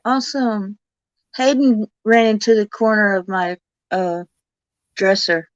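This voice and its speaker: A woman co-hosting a live stream, chiming in with short, unhurried remarks. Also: mid-range voice, fairly even intonation, no echo, dull audio. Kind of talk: casual live-stream chat.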